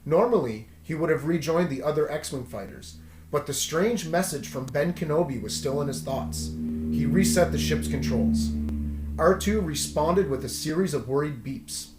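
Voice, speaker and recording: A man's voice: a little high, lively intonation, normal speed, over loud music. A man reading a children's adventure story aloud in a dramatic storytelling style, emphasizing the dialogue. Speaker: storybook read-aloud host. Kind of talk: scripted children's story narration. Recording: roomy echo.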